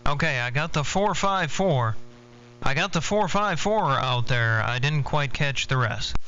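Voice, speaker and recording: A man's voice: on the high side, animated, measured pace. A man running a CB radio check-in, calling stations in a procedural, repetitive way. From Arkansas, United States. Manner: procedural, repeats himself. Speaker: CB radio net controller. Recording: dull CB radio audio, loud hum.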